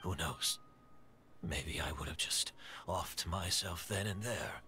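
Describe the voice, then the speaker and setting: An actor voicing a bitter, scheming middle-aged male perfumer in a video game, measured and sardonic, over game music. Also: sits low, sharp tone, slight background noise, heavily acted. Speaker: villain.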